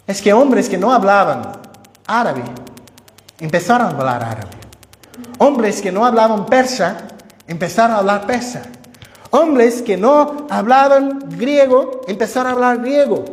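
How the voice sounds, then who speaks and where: A pastor whose first language is Portuguese gives an expository sermon in a church, teaching with conversational asides and personal examples. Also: male voice, very high-pitched. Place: church sanctuary. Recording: echoey church hall with long reverb.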